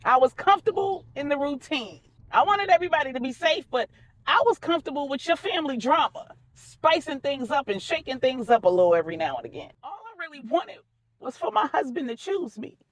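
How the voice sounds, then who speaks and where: A woman storyteller plays a self-blaming divorcee in a drama story. Her voice is pitched high and plaintive, tearfully pleading as it rises and falls. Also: sing-song, fairly quick, low-quality recording. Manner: plaintive, confessional.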